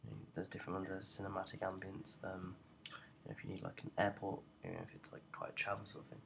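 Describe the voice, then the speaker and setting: A young adult man giving an informal, unscripted software tutorial in a hesitant, rambling way, as a presenter walking through each step. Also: sits low, completely flat delivery, quick pace, creaky. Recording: noticeable background noise.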